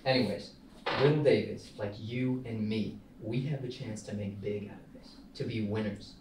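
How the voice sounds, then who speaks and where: Man playing a brash low-voiced young startup founder, roomy. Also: moderate pace, natural voice. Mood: persuasive. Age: young adult. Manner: insistent, preachy salesman delivery. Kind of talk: table read of a film script. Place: small meeting room, indoors. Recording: roomy, distant mic, faint noise.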